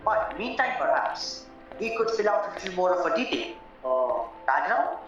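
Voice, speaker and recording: A man acting a courteous, formal solicitor in a radio play, deliberate and a little hesitant. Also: high-pitched, big pitch swings, fairly bright tone. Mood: calm, reassuring. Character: courteous, measured English male solicitor, formal and reassuring. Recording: roomy, distant, muffled, music underneath.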